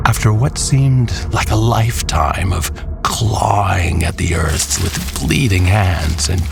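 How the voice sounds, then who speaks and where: A man narrating a scripted horror tale in a dramatic, measured way with pauses. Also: lowish voice. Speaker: storyteller. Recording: music behind the voice. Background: clear background music.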